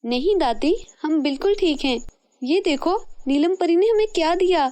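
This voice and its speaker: A very high-pitched, kind-hearted young girl, voiced by a woman for a storybook tale. She is earnest and eager, with polite, obliging delivery. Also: expressive, normal speed, mellow. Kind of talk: acted children's fairy-tale dialogue.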